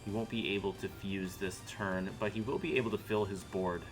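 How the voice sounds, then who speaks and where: A man's voice: on the low side, fairly even intonation, fairly quick, over music. A young man casting a card game stream in joking, teasing commentary with mock-dramatic asides. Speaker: caster.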